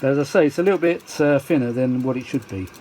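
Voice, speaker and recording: A man's voice: medium pitch, fast, warm tone, slight background noise. A man giving a casual, rambling hobbyist demonstration, chatty and self-deprecating, with muttered asides. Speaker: vintage audio hobbyist presenting a restoration.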